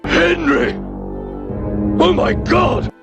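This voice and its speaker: A man voicing an overwrought, melodramatic young woman in a comic audiobook, shrill and horrified. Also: animated, very slow, vocal fry, over music, exaggerated voice.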